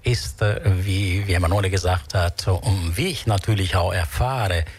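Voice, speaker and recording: Husky man with an Italian accent. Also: deep voice, moderate pace. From southern Italy. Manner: switches between Italian and German, enthusiastic. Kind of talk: casual chat on a show.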